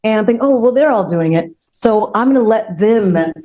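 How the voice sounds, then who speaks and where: A woman's voice: medium pitch, lively intonation, brisk, mellow, poor audio. An outspoken woman hosting an unscripted panel discussion, speaking emphatically and repeating her key phrases. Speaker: outspoken host of an online forum panel. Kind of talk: unscripted panel talk, personal testimony.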